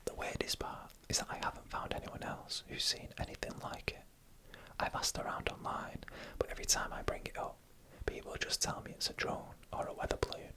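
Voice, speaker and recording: A narrator reading eerie stories in a hushed ASMR whisper, with deliberate pauses between phrases. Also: low-quality recording.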